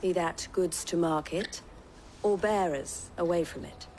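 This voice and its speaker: An actress as a low-voiced, wry caravan leader. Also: female voice, a little hiss or hum, close to an everyday voice. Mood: assured, persuasive. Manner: formal, measured, gently wry phrasing. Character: assured woman caravan leader, wry, shrewd older sister. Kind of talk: scripted fantasy game voice acting. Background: background music.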